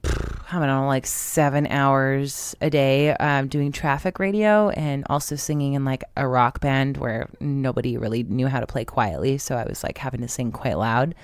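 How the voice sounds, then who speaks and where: A woman co-hosting a podcast in easy, rambling conversation, her talk full of "like" and quick asides. Her voice is smooth and clear, recorded close and dry with no room sound. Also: extremely low pitch, dry recording. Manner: chatty, lots of "like" fillers. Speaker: podcast co-host. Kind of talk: casual chat.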